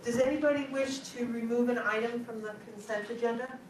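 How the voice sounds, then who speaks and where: A woman's voice: a little high, steady tone, a little fast. A woman chairing a school board meeting, asking plain questions. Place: meeting room. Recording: distant echoey room mic, faint noise.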